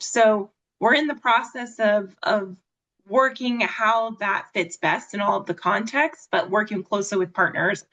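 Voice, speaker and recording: A woman moderates a video call in an organized way, guiding the conversation. Her pitch sits in a middle range. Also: a bit bright. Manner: organized, facilitating.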